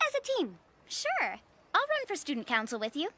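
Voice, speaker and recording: Very high, bright teenage monster girl. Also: wildly swooping pitch, normal speed, exaggerated voice. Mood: eager. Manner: enthusiastic, theatrical. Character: peppy, eager teenage monster girl running for president. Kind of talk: animated cartoon acting.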